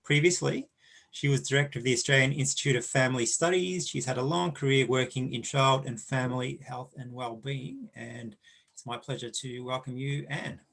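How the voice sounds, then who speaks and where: A man hosting an event, with a gravelly voice. He reads out formal introductions of the next speaker. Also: medium pitch, fairly even intonation, moderate pace, a bit bright. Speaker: event host.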